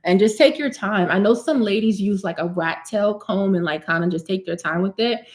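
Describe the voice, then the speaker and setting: Woman in her thirties, live stream host, chatty and animated, mid pitch, casual hair care Q&A. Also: moderate pace. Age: 30s. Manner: chatty and animated, calls viewers honey and sis.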